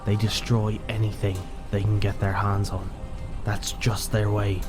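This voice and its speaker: Wary male ranger played by an actor, low flat voice, quiet, formal, fantasy audio drama, clear music behind. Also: measured pace, close to an everyday voice. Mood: wary, grim.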